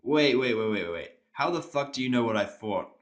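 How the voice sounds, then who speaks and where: An injured, short-tempered human patient played by a man talks in an average male pitch. He is blunt, cynical and sarcastic, telling his own story as audio drama. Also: animated, a little fast, muffled recording, lightly acted. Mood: demanding. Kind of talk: first-person audio drama narration and dialogue.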